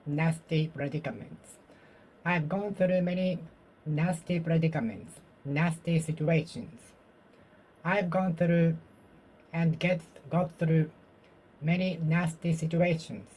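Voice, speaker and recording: A Japanese-speaking learner practicing English alone, slowly and carefully repeating the same sentences, while getting over a cold. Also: medium pitch, steady tone, a little mellow, poor audio. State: recovering from a cold. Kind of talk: self-study speaking practice, repeating phrases aloud.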